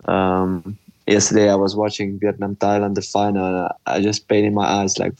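Low, flat-voiced Dutch man. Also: normal speed, no room sound. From Netherlands. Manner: hesitant, many ums and restarts, candid. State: slightly ill with a fever. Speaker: professional footballer guest. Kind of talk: casual podcast interview.

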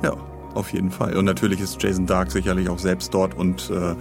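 A man's voice: sits low, level tone, brisk, creaky, music in the background. A man co-hosting a podcast, announcing news in casual chat with dry, teasing banter. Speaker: podcast co-host.

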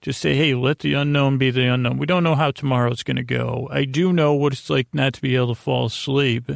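A man hosting a sleep podcast with a rambling, soothing bedtime monologue, halting and often trailing off or doubling back on his words. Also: mid-range voice, steady tone, gravelly, no room sound, studio quality. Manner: meandering, halting, often trailing off and repeating himself; lulling, soothing.

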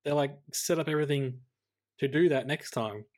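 An Australian man co-hosting a podcast, talking casually about films in a measured, tentative way, thinking aloud. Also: fairly high pitch, a little mellow. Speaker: podcast co-host. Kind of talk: casual film discussion.

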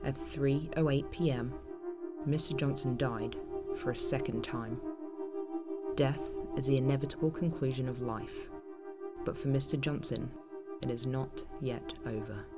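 A man narrating a dark audio drama anthology as a grave, ominous storyteller, measured and solemn. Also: medium pitch, fairly even intonation, lightly acted. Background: prominent music.

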